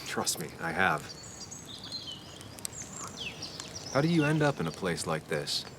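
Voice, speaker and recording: Game role: dogged ex-reporter turned sleuth. Low register, blunt, reserved. Clear outdoor noise behind. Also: male voice, big pitch swings, a little fast. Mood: guarded, probing. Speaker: player-character investigator, former reporter. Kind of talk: video game dialogue and inner monologue.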